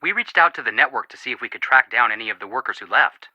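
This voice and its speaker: A man's voice: medium pitch, animated, fast talker, no echo, natural voice. An actor playing a goofy, playful young male detective in a video game, talking over a phone line. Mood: urgent, cautioning. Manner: playful, wisecracking.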